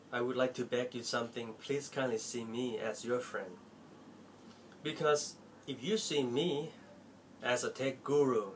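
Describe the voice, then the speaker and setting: A man's voice: mid-range voice, a little hiss or hum. Earnest Thai man talking to camera. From Thailand. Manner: heartfelt, pleading, expressive swings of loudness. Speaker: Apple store salesperson and tech vlogger. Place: small cluttered bedroom indoors.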